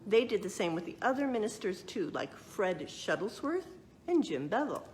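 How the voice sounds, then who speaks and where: A woman tells a story to young listeners in a very animated, mid-pitched voice. She reads expressively from a picture book and steps out of the text to explain things and ask questions. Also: moderate pace, slight background noise. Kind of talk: read-aloud storytelling with explanatory asides. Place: museum exhibit space.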